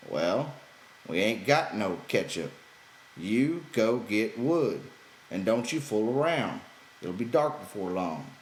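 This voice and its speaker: A man's voice: on the low side, very animated, slow, pitched above the performer's own voice. Man acting a brusque, irritable ranch hand, echoey. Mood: bossy. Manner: brusque, rustic dialect, exasperated. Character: gruff, irritable, bossy male ranch hand. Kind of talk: character voice in audiobook reading. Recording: roomy echo, background noise.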